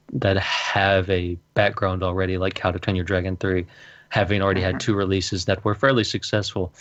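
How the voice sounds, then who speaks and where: A man co-hosting a podcast, talking casually in a discussion. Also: deep voice. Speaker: podcast co-host. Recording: dull lo-fi voice mic.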